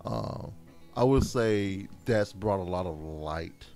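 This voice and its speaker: A man co-hosting a podcast with his wife, talking casually with a relaxed, hesitant, teasing manner. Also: low voice, slow pace, soft background music. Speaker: podcast co-host. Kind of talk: casual chat between spouses.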